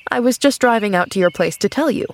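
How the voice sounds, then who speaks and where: A woman reading the part of a genial, teasing male doctor in an audiobook, brisk and kindly. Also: medium pitch, animated, a little hiss or hum, natural voice. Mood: brisk, friendly. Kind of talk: audiobook character acting.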